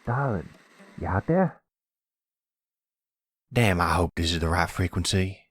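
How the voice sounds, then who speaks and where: A man voicing an older mafia father in an audio roleplay, doting and gruffly protective. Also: deep voice, lively intonation, measured pace, fairly bright tone, dry recording, studio quality, full character voice. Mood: tender, worried. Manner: tender to daughter, gruffly threatening to others.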